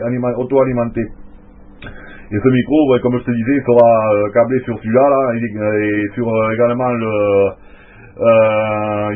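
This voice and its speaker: A man chatting casually as an amateur radio operator, in a hesitant, informal, technical way. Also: medium pitch. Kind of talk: casual radio contact chat. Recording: muffled narrow-band radio transmission audio.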